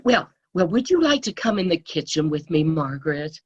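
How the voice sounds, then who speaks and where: A woman playing a gentle, hospitable older storekeeper's wife in a family radio drama, husky and homely, a little hesitant. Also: low voice, sing-song, moderate pace, lo-fi sound, exaggerated voice. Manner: hesitant, homely, repeats words. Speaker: actor in a radio play.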